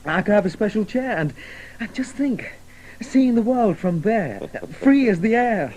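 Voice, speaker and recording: An actor playing an earnest, bookish young son in a radio drama, tentative and rambling. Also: male voice, high-pitched, expressive, unhurried, darkish tone, poor audio. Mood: eager, dreamy. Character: earnest, bookish, dreamy son with a crazy scheme. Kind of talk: 1980s radio drama acting.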